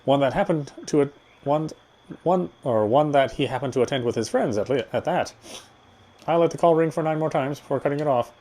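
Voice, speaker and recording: A man's voice: on the high side, fairly quick, dark tone. A man reading visual novel narration aloud, expressively, with occasional stumbles over words. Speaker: game streamer. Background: game music.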